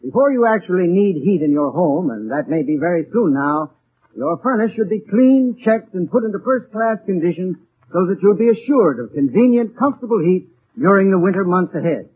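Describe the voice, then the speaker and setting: A man giving a formal, persuasive scripted advertising pitch as an expert on 1940s radio. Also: husky. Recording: muffled, tinny 1940s radio recording.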